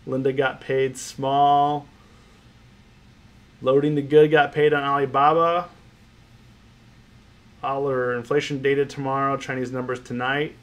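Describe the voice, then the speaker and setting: A man's voice: medium pitch, flat delivery, unhurried, pauses often. A man with a stuffy, nasal voice from a cold, hosting a trading livestream, chatting casually and greeting viewers by name. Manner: rambling, repeats phrases, greets viewers by name. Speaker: options trading livestream host. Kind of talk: casual livestream commentary, reading chat.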